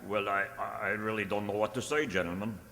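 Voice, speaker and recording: Man playing sharp-toned flustered inventor. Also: on the low side, a little fast. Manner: theatrical, flustered, polite. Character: flustered, obliging male inventor and seller of marionette robots. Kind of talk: radio play acting. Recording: noisy echoey hall, stage radio play.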